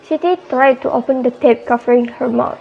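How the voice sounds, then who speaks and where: A woman's voice: high-pitched, steady tone, fairly quick, vocal fry, lo-fi sound. A young adult woman with an Indonesian accent reading scripted narration for a radio drama.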